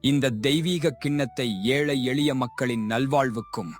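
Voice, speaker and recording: A man playing a kind, poor young village goatherd, earnest and emotional, in an animated moral story. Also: mid-range voice, unhurried, soft background music, natural voice. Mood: sincere.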